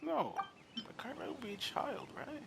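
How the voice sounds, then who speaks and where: A man's voice: extremely high pitch, lively intonation, moderate pace, vocal fry, background noise. A man giving casual gaming reaction commentary in muttered, musing asides. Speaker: gaming content creator. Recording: loud game music behind. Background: loud game music.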